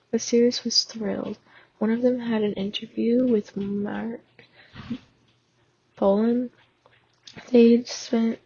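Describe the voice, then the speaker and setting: A woman with a sore, hoarse throat reading fanfiction aloud in a casual way, stumbling and restarting now and then. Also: a little high, warm tone, highs cut off. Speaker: fan audiobook reader.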